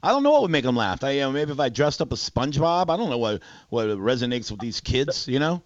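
Animated man in his forties hosting radio. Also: medium pitch, fast, no room sound, muffled recording. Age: 40s. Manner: crude, teasing, excitable. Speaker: radio show host. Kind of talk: casual comedic banter with callers.

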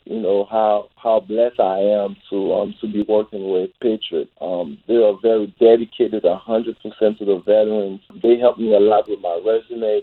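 Male veteran guest from Haiti, lowish voice, interviewed over a narrow phone line. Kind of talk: radio interview answers.

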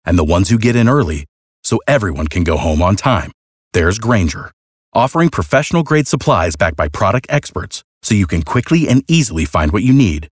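Man, commercial announcer, scripted read, animated pitch swings, low register. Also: fairly quick, no room sound, studio quality. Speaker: advertisement announcer.

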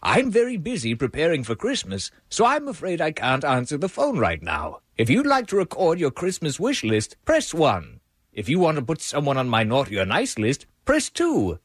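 A man voicing a jolly old Santa Claus on a voicemail greeting, with a husky voice and a hearty, theatrical delivery. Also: a little high, huge pitch swings, normal speed, no room sound, clean studio sound, full character voice. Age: older.

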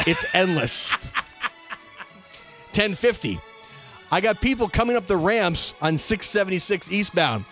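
A man with a notably high voice hosts a talk show. He reads quoted reports and times aloud with emphatic, announcer-style delivery. Faint music plays behind him. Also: fairly bright tone. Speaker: radio show host. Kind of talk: reading police dispatch quotes aloud on a comedy-tinged talk show.

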